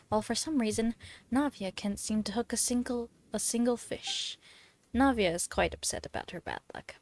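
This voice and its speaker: A woman's voice: medium pitch, a little mellow. A woman livestreaming a game, talking casually and reading story text aloud in an informal, giggly way.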